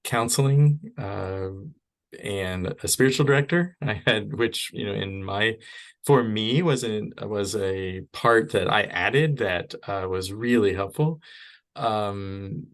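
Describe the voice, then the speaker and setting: Middle-aged man, podcast guest, lowish voice, measured, thoughtful, personal, casual interview.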